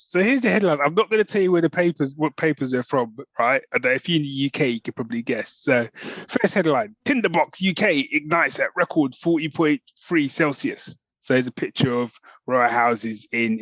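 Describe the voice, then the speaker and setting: A man's voice: medium pitch, normal speed, a bit bright, dry recording. A middle-aged man, co-hosting a podcast in casual, matey, jokey banter. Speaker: podcast co-host.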